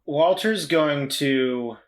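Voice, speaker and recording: A man's voice: on the high side, drawn-out words. A man playing a tabletop game, describing his character's moves hesitantly. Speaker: player. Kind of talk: casual game talk.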